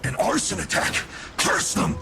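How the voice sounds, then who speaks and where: Gravelly furious enemy soldier. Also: male voice, high voice, huge pitch swings, measured pace, exaggerated voice. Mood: angry. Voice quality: gravelly, strained. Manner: shouting battle cries. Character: furious enemy soldier rallying his comrades. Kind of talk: video game voice acting. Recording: music and battle crashes behind.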